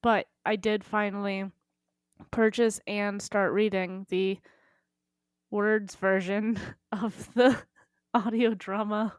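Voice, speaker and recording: Unhurried, low-key woman chatting on a podcast. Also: level tone, clear, resonant tone, dry recording, studio quality. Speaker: podcast co-host. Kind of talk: casual podcast chat.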